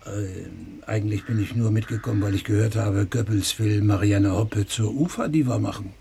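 An actor playing a blunt, gossipy, smug surgeon in a radio play, speaking in a brusque, dismissive way. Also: male voice, on the low side, normal speed, vocal fry, warm tone, a little hiss or hum.

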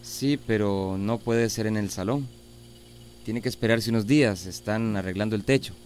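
A man acts a village works boss with a Central American accent. His voice is on the low side and he placates and makes excuses. Faint noise sits behind this radio-drama scene. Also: moderate pace, darkish tone, lightly acted. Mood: placating.

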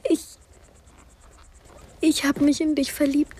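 An actress playing a bold, infatuated tourist, speaking sensually and directly in an intimate radio drama. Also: female voice, sits high, flat delivery, slow pace, darkish tone. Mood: pleading, infatuated. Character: infatuated, bold female tourist having an affair. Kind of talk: intimate first-person radio drama narration and dialogue.

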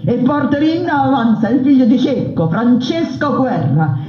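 A woman's voice: high-pitched, big pitch swings, measured pace. A woman performing a theatrical monologue as a defiant peasant brigand woman, speaking with impassioned, declamatory force. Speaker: narrator voicing a brigand woman. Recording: roomy dull radio broadcast.

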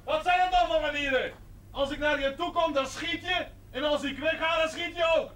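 An actor playing a bold, easily offended village man, loud and blunt, in a Dutch period TV drama. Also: very high voice, fairly even intonation, moderate pace. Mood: indignant.

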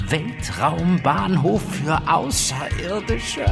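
An actor playing a learned, unflappable gentleman traveller in a radio drama, speaking precisely and pompously. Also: a little high, lively intonation, takes it slowly, creaky, heavily acted. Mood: dry, curious. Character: learned, unflappable English gentleman traveller, drily fascinated by everything. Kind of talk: audio drama acting. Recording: radio play, loud music behind.

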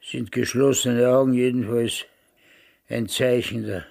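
An actor playing a vain, stubborn old man in a German radio drama, self-important and defensive, with a gravelly, husky voice. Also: medium pitch, fairly even intonation, unhurried, darkish tone. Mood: indignant, defensive. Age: older.

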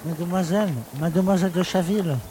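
A man's voice: high-pitched, steady tone, fast, warm tone, slight background noise, natural voice. A man playing a pompous, courteous small-town mayor in an audio drama, speaking ceremoniously. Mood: proud, courteous.

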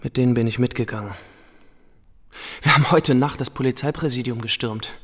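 An actor playing a restless, excitable young war veteran, boastful and bitter, in a radio play. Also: male voice, medium pitch, brisk, natural voice. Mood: excited, boastful. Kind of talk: German radio play acting. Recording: long hall reverb.

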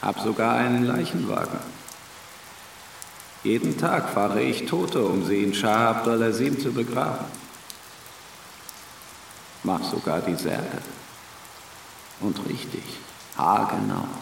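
An actor in a radio play voicing an eerie, eager old hunchbacked hearse driver with a gravelly, croaking voice. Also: male voice, on the low side, animated, takes it slowly, pauses often, full character voice. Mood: eerie, obliging. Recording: distant, echoing, faint hiss and rain.

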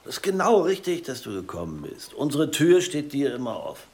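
Gravelly, hearty police comrade. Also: male voice, medium pitch, huge pitch swings, fairly quick, a little mellow, a little hiss or hum. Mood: hearty, welcoming. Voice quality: gravelly, husky. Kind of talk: radio drama acting.

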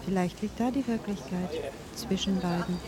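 A woman explaining and translating in conversation, with careful, measured phrasing. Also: fairly low pitch, crowd noise. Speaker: interpreter and conversation partner. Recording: noisy ambience with vehicles.

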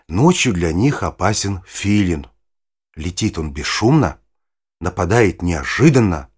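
An older male actor playing a kindly, knowledgeable grandfather who explains nature to his granddaughter in a husky voice, in a children's audio play. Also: deep voice, exaggerated voice. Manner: unhurried, storytelling, instructive. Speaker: narrator-guide in an educational audio play.

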